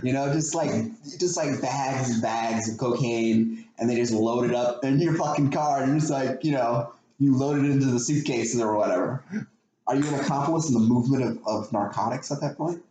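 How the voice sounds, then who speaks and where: A man's voice: medium pitch, mellow. A man with an American accent and a mid-range voice talks loosely and swears freely, like a co-host swapping stories. The room is echoey, with some noise behind him. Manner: rambling, profane, quick to laugh. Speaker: podcast co-host telling stories. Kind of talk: casual chat, anecdotes. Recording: roomy echo with clear background noise.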